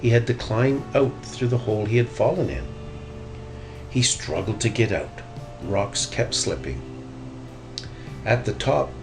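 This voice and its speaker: A man narrating a children's picture book as a storybook reader. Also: fairly low pitch. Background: music.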